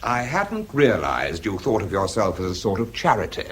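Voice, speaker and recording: An actor with a British accent playing a bitter, self-pitying research scientist in a radio drama, dry and clipped. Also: male voice, lowish voice, huge pitch swings, moderate pace, slight background noise. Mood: bitter, tense. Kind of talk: British radio drama acting and first-person narration.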